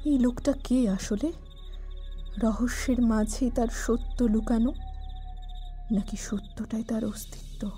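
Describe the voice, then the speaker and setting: Lady acting as a wary lady detective, really slow and intent, clear music behind, audio thriller. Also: fairly high pitch, fairly even intonation. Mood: wary, pondering. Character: earnest, sceptical female detective, rational and wary. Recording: loud music bed behind voice.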